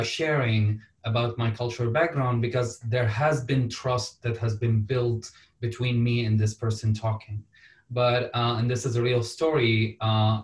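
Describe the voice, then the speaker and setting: A man's voice: mid-range voice, distant mic. Syrian-born man, roomy and off mic. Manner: earnest, wry asides. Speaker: panelist. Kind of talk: panel discussion answer.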